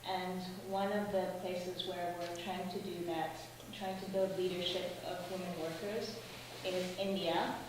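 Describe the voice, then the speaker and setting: A woman's voice: lowish voice, fairly even intonation, measured pace. A woman introducing herself and her work at a meeting in an informal, hesitant, explanatory way. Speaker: labour organisation program worker. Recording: very echoey distant room, clear noise.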